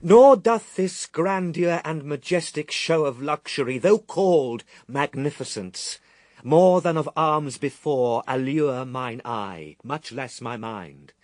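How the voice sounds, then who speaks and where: An actor playing Jesus as a stern, steadfast Son of God in a verse radio drama, solemn, declamatory and disdainful. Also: male voice, a little high, takes it slowly, darkish tone, no echo. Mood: scornful, resolute.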